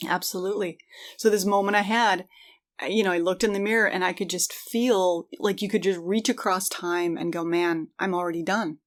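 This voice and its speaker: A woman's voice: fairly low pitch, steady tone, moderate pace. A woman co-hosting a podcast, talking casually and reflectively in an earnest, encouraging way. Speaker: podcast co-host.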